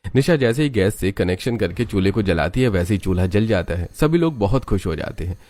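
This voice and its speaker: A man's voice: fairly low pitch, animated, brisk, a little mellow. A man reading scripted story narration as the narrator of a tale.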